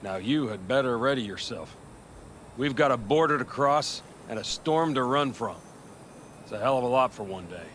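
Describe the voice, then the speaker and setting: Give the voice of an aging, steadfast nomad leader in a video game, acted by a man. He speaks with a forceful, sharp edge and blunt folksy conviction. Faint outdoor vehicle noise sits behind him. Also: mid-range voice, lively intonation, normal speed, close to an everyday voice. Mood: resolute. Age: older. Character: older male nomad clan leader, blunt, proud, steadfast.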